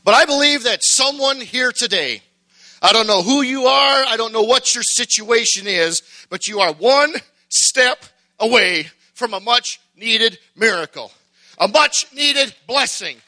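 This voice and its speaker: A middle-aged man preaching a sermon, folksy and joking at first, then building to shouted fervor. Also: very high-pitched, lively intonation, unhurried.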